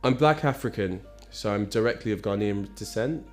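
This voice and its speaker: A man speaking calmly and deliberately in a corporate testimonial. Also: fairly low pitch, faint music behind.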